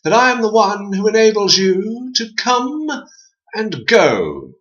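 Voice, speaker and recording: A man's voice: high-pitched, very animated, slow, background noise, pitched above the performer's own voice, full character voice. A man voicing Swinging Door, a pompous, foppish talking door with a posh, affected manner. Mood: haughty. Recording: dull lo-fi recording.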